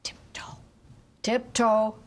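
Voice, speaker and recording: A woman reading a picture book aloud to children in an expressive, chanting, sing-song way, engaging them with questions like a teacher. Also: a little high, drawn-out words. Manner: asks listeners questions like a teacher. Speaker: volunteer story reader for children.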